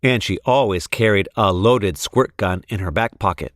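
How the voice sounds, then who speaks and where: A man reading a children's storybook expressively, with deliberate, measured phrasing. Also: fairly low pitch, fairly bright tone, no room sound, studio quality. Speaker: storybook reader.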